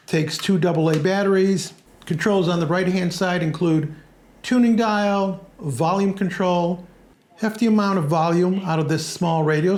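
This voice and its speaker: Man, product reviewer, scripted narration, plain measured delivery, somewhat high voice. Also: a little mellow.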